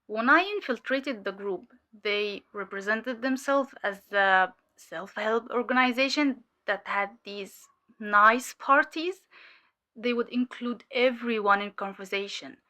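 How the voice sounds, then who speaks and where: A woman playing a weary, sharp undercover cult infiltrator giving hesitant, measured testimony in an audio drama. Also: fairly high pitch. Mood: matter-of-fact.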